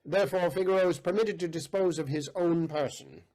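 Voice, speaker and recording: Guy doing a stuffy judge reading out rulings, kinda high voice. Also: a little fast, darkish tone, lightly acted. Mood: formal. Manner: formal legalistic pronouncing. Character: pompous male judge of a country court.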